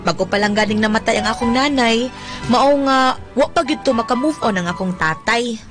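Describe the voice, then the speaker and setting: A woman's voice: fairly high pitch, a little fast, natural voice. A woman playing a weary, dutiful daughter in a radio drama, coaxing and exasperated, with a Visayan Filipino accent. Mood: worried. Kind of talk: radio drama acting with first-person narration. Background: music.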